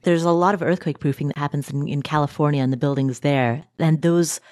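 An interviewer from Nepal, asking long, measured questions. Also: mid-range voice, steady tone, darkish tone, dry recording, clean studio sound. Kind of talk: conversational interview.

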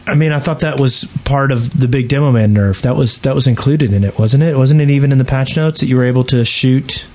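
A man's voice: mid-range voice, fairly quick, darkish tone, slight background noise. A man co-hosting a gaming podcast in casual conversation, with a somewhat grumbling, argumentative manner. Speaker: podcast co-host. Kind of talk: casual chat about gaming.

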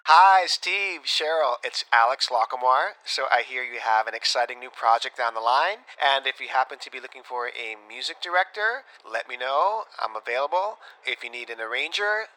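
Bright, eager self-promoter. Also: male voice, mid-range voice, expressive, moderate pace, few pauses, low-quality recording. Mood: eager, hopeful. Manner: ingratiating, rambling. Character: eager, self-promoting male music director. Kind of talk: comedic audio drama, voicemail message.

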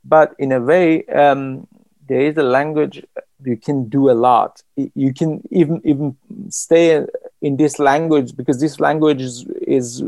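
Guy from iran, persian is his first tongue, gravelly voice, lots of restarts, dry close sound. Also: medium pitch, darkish tone, no echo. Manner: thoughtful, halting, many restarts and fillers. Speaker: writer. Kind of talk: interview.

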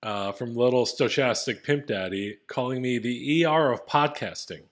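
A man's voice: mid-range voice, normal speed. A man co-hosting a podcast in casual, joking banter that can turn profane. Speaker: podcast co-host.

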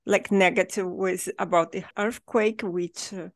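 A woman's voice: medium pitch, steady tone, unhurried, no echo. A woman hosting a podcast interview, asking questions and giving short encouraging replies. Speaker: podcast host.

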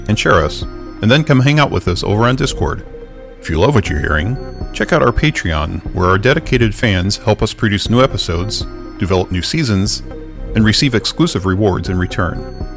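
A man reading the show's sponsor and content announcements in a measured, formal way. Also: lowish voice, creaky. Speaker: podcast announcer. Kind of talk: scripted announcement.

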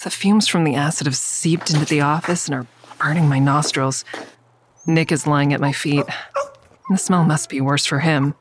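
A woman's voice: sits low, steady tone, moderate pace, a little hiss or hum, natural voice. A woman playing a wary, stubborn mother who narrates her risky investigation in the first person in an audio drama. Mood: wary, confiding.

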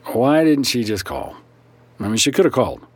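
A man's voice: medium pitch, sing-song, moderate pace, no echo. A man playing a wry, chatty therapist in an audio drama, talking in a hesitant, rambling, sardonic way. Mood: wry annoyance. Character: wry, chatty, flustered male therapist who brawls apologetically. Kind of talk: naturalistic audio drama acting.

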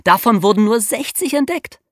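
A woman's voice: on the high side, lively intonation, moderate pace, dry recording, studio quality. A woman co-hosting a podcast, explaining true crime in a colloquial, conversational storytelling way for young listeners. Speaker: podcast co-host.

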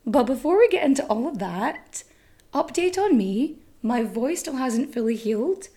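A woman hosting a history podcast, telling stories in a chatty, swearing, self-mocking way, her voice still a little croaky. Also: high-pitched, sing-song, moderate pace, dark tone. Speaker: podcast host. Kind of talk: casual comic monologue.

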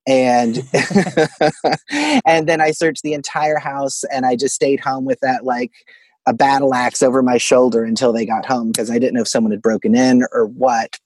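Male caller from Arkansas, mid-to-low voice, casual chuckling storyteller, very dry closet sound. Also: a little mellow, breathy edge, no room sound. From Arkansas, United States. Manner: chuckles through his story. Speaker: caller telling a personal ghost story. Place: closet at home.

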